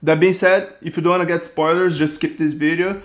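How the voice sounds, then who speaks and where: Brazilian accent, man, thirties, somewhat high pitch, casual rambling narration. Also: fairly quick. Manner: relaxed, rambling storytelling with restarts. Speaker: creature designer, channel host.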